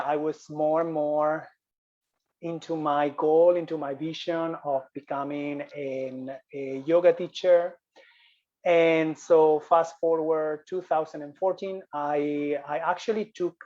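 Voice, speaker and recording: A man's voice: a little high, darkish tone, highs cut off. A man from Spain with a Spanish accent, a yoga business coach telling his story as a guest over a video call, often repeating and restarting phrases.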